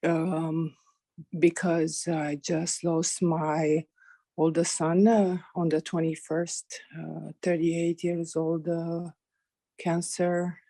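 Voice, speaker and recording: A woman, asking for guidance on a video call, speaking haltingly with many pauses. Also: low-pitched, flat delivery, mellow, no room sound. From Romania.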